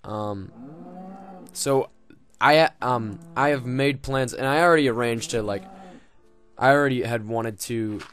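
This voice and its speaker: A man's voice: mid-range voice, unhurried, fairly bright tone, faint music behind. A young man casually commenting on his game, rambling and joking with lots of swearing and fillers. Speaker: gaming video host.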